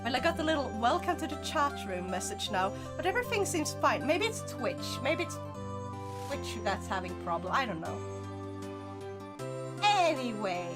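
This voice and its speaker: A woman's voice: sits high, big pitch swings, moderate pace, long pauses. Bubbly young woman streamer. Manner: bubbly and chatty with viewers. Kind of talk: casual live-stream chat. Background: game music.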